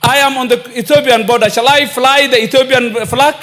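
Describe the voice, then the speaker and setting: A man's voice: very high-pitched, fairly even intonation, brisk, a bit bright. A man from northern Kenya with a Kenyan accent, giving an impassioned parliamentary speech and repeating rhetorical appeals to the Speaker. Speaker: member of parliament. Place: parliament chamber. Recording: long hall reverb of a chamber.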